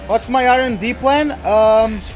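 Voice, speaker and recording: A man's voice: very high-pitched, a little slow, soft background music. A young man whose first language is Portuguese, rambling casually in English while playing a racing game, with many fillers and restarts. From Portuguese-speaking country. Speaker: gaming YouTuber. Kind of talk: casual let's-play commentary.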